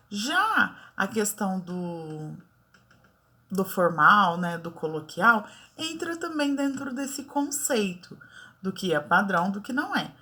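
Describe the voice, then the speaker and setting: A Brazilian woman teaching a lesson in a loose, chatty way, dropping little check-ins to her class as she goes. Her voice is bright and clear, at an ordinary pitch for a woman. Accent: Brazilian Portuguese. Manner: chatty, many fillers like "né" and "tá". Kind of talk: casual online lesson.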